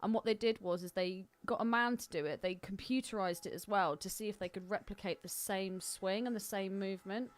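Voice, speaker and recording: A woman's voice: medium pitch, fairly even intonation, a little fast, few pauses. Woman chatting on a casual film podcast. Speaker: podcast co-host.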